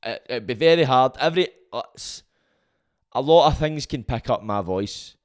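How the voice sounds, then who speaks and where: Booming Glaswegian man, sweary podcast host. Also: expressive, moderate pace. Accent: Scottish, Glaswegian. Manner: loud booming voice, sweary and rambling. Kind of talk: casual monologue.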